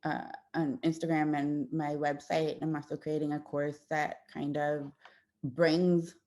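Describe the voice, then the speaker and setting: A woman's voice: sits low, little pitch movement, measured pace. Woman audiologist giving a casual slide talk. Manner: conversational, warm explanations with frequent fillers and restarts. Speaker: audiologist presenting to parents. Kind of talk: informal presentation with slides.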